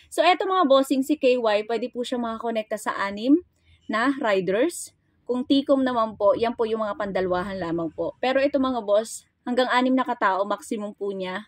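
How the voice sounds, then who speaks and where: A woman's voice: fairly high pitch, dry recording. A woman with a Filipino accent giving a casual hands-on product tutorial as a vlogger, chatty and informal, speaking straight to her viewers. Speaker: vlogger and motorcycle gear seller demonstrating products.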